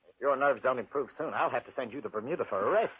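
An actor playing an obsessive, dismissive scientist husband, emphatic and theatrical in 1940s radio drama style. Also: male voice, mid-range voice, lively intonation, fast talker, natural voice. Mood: impatient. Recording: muffled, noisy 1940s radio recording.

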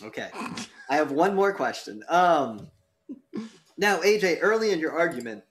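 A man's voice: a little high, animated, moderate pace, a bit bright, slight background noise. A man moderating a podcast debate in a casual, conversational way, posing questions.

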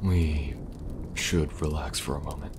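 A man playing a weary, protective young survivor agent in an ASMR audio roleplay, speaking softly and reassuringly, a little hesitant. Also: very deep voice, steady tone, a little slow. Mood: gentle, caring. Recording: faint background noise and ambience.

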